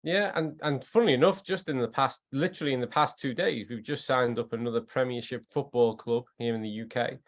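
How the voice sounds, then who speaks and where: Male, Liverpool accent, mid-pitched voice, effusive storyteller, conversational, expert guest. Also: fairly quick, few pauses. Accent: Liverpool English. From Liverpool, England. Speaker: guest scientist and former athletes' trainer. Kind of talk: casual chat on an online group call.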